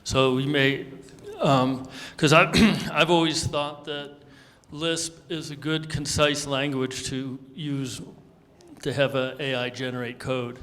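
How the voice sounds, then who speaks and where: A man's voice: medium pitch, fairly even intonation, slow pace, a bit bright, big-room sound. A man on a panel, explaining his views and thinking aloud as he goes. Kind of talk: panel discussion.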